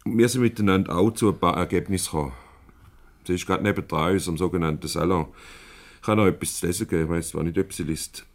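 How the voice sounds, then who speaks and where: Low-voiced, teasing Swiss German boss. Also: male voice, quick pace. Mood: wry. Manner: probing, wry, avuncular. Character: shrewd, teasing boss mediating a couple's quarrel. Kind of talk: 1970s radio comedy acting in dialect.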